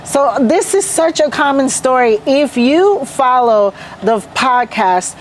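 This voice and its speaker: A woman hosts a podcast on location at a hotel, with an upbeat, casual manner and a fairly high voice. A faint background hum sits under her speech. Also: lively intonation, slow, rough recording. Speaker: podcast host. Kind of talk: casual interview chat.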